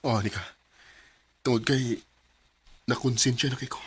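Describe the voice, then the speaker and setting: A man acts out a conflicted husband in a radio drama, with a Cebuano accent. His voice is husky and mid-pitched, and his phrasing is halting and apologetic. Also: fairly even intonation, natural voice.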